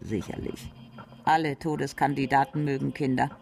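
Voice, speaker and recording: A woman's voice: very deep voice, moderate pace, gravelly, darkish tone. A woman playing a coarse, playful doctor's wife and mother in a radio drama, gushing, teasing and bossy.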